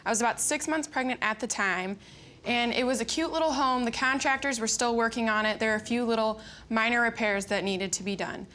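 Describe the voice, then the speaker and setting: A woman's voice: fairly high pitch, brisk, sharp tone. A young woman giving polite, orderly testimony as a plaintiff in a TV court. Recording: slight background noise.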